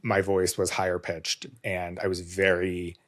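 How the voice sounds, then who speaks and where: A man hosting a podcast in casual conversation, his voice slightly hoarse with a touch of vocal fry, earnest and reflective. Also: low voice, completely flat delivery, a little slow. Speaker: podcast host.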